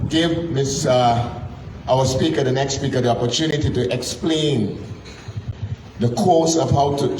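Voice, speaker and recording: A man's voice: mid-range voice, a little mellow. A man hosting a live talk night, introducing the next speaker in a rambling, informal way. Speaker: host. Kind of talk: event host introduction. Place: event room. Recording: echoey room, distant mic, noisy.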